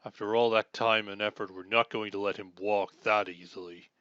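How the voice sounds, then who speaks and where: Casting: male actor as noble sword-wielding superhero, bright forceful tone, formal and self-assured, dry slightly dull recording, audio drama. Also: lowish voice, fairly even intonation, normal speed, clear, resonant tone, no echo, close to an everyday voice. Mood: composed, businesslike. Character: earnest, chivalrous knight-like armored male superhero swordsman. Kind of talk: scripted audio roleplay acting.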